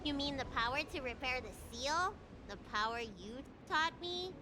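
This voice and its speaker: A precocious little girl healer from a video game. Her voice is high and childlike, earnest in its delivery. There is clear noise and ambience behind her. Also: animated, normal speed. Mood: curious, brisk. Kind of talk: scripted game voice acting. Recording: noisy game capture with ambience.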